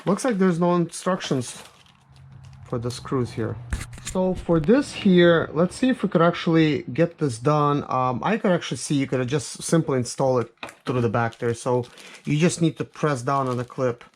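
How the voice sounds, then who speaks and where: A man's voice: on the high side, moderate pace. A man giving casual, hands-on unboxing commentary as a reviewer, thinking aloud in an informal, hesitant way. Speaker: product reviewer and vlog host. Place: office. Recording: clear background noise with clicks.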